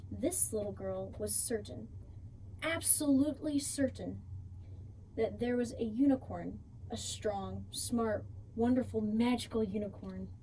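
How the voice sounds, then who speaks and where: A woman reading a children's storybook aloud with expressive, deliberate phrasing. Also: a little high, slight background noise, rough recording. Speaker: storybook reader.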